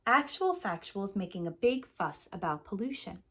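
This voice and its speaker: A woman's voice: medium pitch, lively intonation, normal speed, fairly bright tone, breathy edge. A woman voicing a breezy, dismissive father bear in a storybook, folksy and self-assured.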